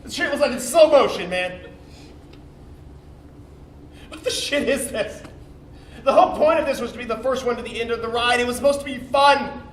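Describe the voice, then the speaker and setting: A man's voice: extremely high pitch, lively intonation, measured pace, pauses often. A man on stage playing a cocky, bitter young sperm cell, telling his story as a slangy, swearing, defensive bro in a dramatic comic monologue. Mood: angry, defensive. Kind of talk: theatrical comic monologue, confessional storytelling to audience. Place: indoor theatre stage, recorded from a distance. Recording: roomy, distant stage recording with faint noise.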